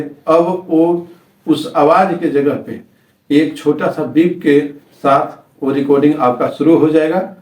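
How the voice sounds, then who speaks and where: Tutorial host, male, Indian accent, halting step-by-step delivery, recorded in a very roomy space at some distance from the mic. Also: on the high side, a little mellow. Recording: echoey room, distant microphone.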